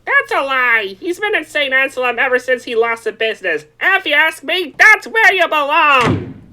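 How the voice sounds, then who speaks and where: A performer voicing a curt, suspicious older mother who runs a boarding house in a comic radio play, sharp and hostile. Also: high voice, sing-song, normal speed, full character voice. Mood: accusing.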